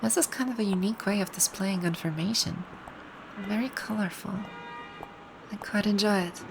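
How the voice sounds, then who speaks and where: A woman playing a haughty, grouchy video game villain boss with a secretly soft side, speaking in imperious, formal grumbles in an ASMR roleplay. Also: mid-range voice, moderate pace, vocal fry, noisy background, lightly acted. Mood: curious, approving. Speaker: audio roleplay voice actor.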